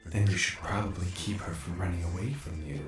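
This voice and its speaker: A man's voice: low-pitched, recorded from a distance, lightly acted. A man playing a sadistic, taunting bat demon in an audio drama, toying theatrically with prey. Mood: smug, amused. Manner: taunting, theatrical, drawn-out delivery. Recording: roomy echo on the voice. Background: music.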